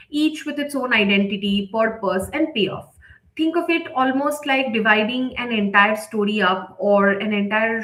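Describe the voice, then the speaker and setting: A woman presenting an explanatory online lecture in a measured, instructive way, often checking in with the listener. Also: fairly high pitch, fairly bright tone. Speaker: presenter, story editor. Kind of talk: explanatory webinar lecture with slides. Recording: echoey room sound.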